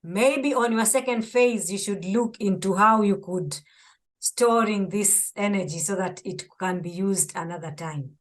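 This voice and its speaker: A woman's voice: mid-range voice, measured pace. A woman hosting an interview and asking questions with enthusiasm. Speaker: podcast host.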